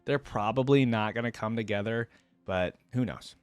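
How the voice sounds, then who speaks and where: A man's voice: lowish voice, normal speed, clean tone, dry recording. A man chatting casually and informally to his viewers as a reaction video host, rambling conversationally as he goes. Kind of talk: casual unscripted chat to viewers.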